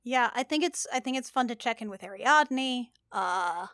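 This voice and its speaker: A woman's voice: fairly high pitch, expressive, normal speed, a bit bright, no echo, studio quality. A woman who plays in a tabletop podcast, chatting casually and playfully in a group, often repeating words for emphasis. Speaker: podcast cast member, tabletop player.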